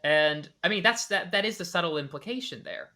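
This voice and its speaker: Male podcast host reacting to a clip, bright voice, analytical, lots of restarts. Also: sits high, lively intonation, fairly quick. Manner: analytical, with many restarts and hedged speculation. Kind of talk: reaction commentary.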